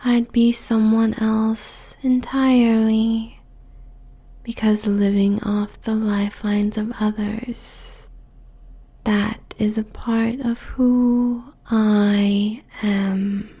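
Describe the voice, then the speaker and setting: A woman's voice: on the high side, little pitch movement, very slow, warm tone, husky, exaggerated voice. A woman playing a seductive, possessive demon succubus queen in a roleplay audio, whispering breathily and savouring her words with teasing sensuality. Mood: hungry, sensual.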